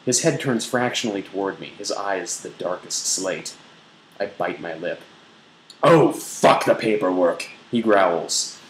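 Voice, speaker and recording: A man's voice: low voice, measured pace. A man giving a theatrical, mock-sensual dramatic reading of an erotic novel. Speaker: blogger reading aloud. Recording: roomy echo, faint background noise.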